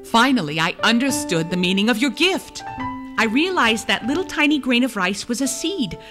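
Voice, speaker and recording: A woman voicing a humble, thoughtful youngest princess in a storybook tale, sincere and deliberate. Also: fairly high pitch, animated, music in the background, pitched above the performer's own voice, close to an everyday voice. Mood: sincere, earnest.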